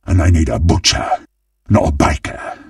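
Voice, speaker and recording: A man voicing a greedy, gleeful, monstrous undead butcher in a video game, with a gravelly, husky British-accented voice and a menacing, theatrical manner. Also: rumbling low, normal speed, dry recording, clean studio sound, distant mic, exaggerated voice. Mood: gloating relish. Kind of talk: video game character voice lines.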